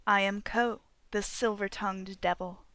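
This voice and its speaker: Solemn woman narrator with a creaky, dry-recorded voice. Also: mid-range voice, steady tone, sharp tone, no room sound. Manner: solemn, deliberate, oratorical. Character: Kalara Vadras, passionate defiant woman leader recounting her memoir. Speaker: author narrating own fan fiction audiobook. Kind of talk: dramatic first-person scripted narration.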